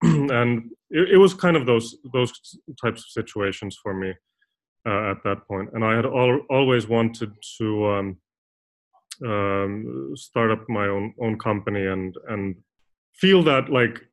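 A young man answers interview questions in a loose, rambling flow. He keeps stopping and restarting his words, and his voice sits at an ordinary middle pitch. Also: unhurried. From Finland. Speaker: guest, design consultant. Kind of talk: casual interview talk.

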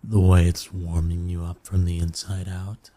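Deep, breathy incubus seducer, acted by a man. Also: completely flat delivery, dark tone. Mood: seductive, boastful. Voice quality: low, breathy, husky.

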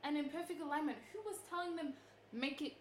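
A woman with a high voice debating with friends, full of questions and fillers. She is recorded in an echoey room with faint background noise. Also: quick pace. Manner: questioning, explains with many likes. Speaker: friend in a group chat. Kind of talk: casual chat.

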